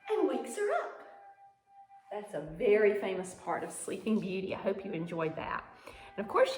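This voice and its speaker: A woman's voice: mid-range voice, darkish tone. A woman teaching ballet mime, telling stories theatrically and prompting learners to follow along. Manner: theatrical storytelling with encouraging prompts. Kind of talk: demonstrative dance lesson, talking viewers through gestures. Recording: very echoey room, music behind.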